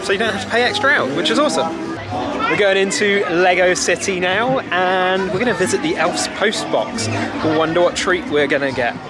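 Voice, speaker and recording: A young British man with a slightly high voice talks informally to a handheld camera. He is chatty and casual, like a travel vlogger out and about, and loud music plays over the outdoor sound. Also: normal speed, over a noisy crowd. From Britain. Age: 20s. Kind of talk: casual vlog narration to camera. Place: theme park, mostly outdoors. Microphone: handheld camera at arm's length.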